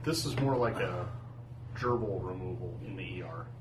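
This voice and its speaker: A man's voice: low voice, lively intonation, normal speed. A man playing a tabletop game, tossing out jokes. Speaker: player. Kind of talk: casual tabletop game chat. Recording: roomy and distant with faint noise.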